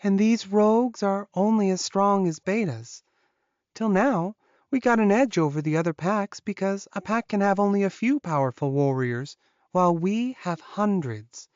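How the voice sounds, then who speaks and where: Woman playing a mellow-voiced, resigned loyal witch. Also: mid-range voice, lively intonation, moderate pace, dry recording, dull audio, lightly acted. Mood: explaining, matter-of-fact. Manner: explanatory, matter-of-fact. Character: resigned, loyal young witch guarding a captive.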